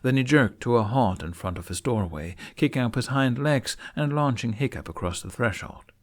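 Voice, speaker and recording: A man narrating a fantasy story in an expressive, theatrical audiobook style. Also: medium pitch, normal speed. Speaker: fan fiction narrator.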